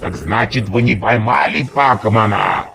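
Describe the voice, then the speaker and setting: A man's voice: on the low side, unhurried, creaky, bright, forceful tone, full character voice. A man voicing a bossy, short-tempered robot villain who scolds imperiously, in an amateur video game dub. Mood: suspicious, demanding. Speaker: fan dub voice actor. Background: game music.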